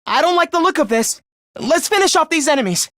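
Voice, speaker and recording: A man playing an earnest, caring teenage student leader in an anime-style video game, with a youthful, expressive voice and polite delivery. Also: extremely high pitch, a little fast, no echo, clean studio sound, full character voice. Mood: alarmed, urgent. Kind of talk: animated game voice lines, anime-style dub acting.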